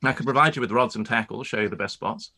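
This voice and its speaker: A man playing a reserved, proud young gentleman landowner in a radio play, speaking formally with brief hesitations. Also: medium pitch, fast. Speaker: actor in a live-read radio play. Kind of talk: period radio play acting.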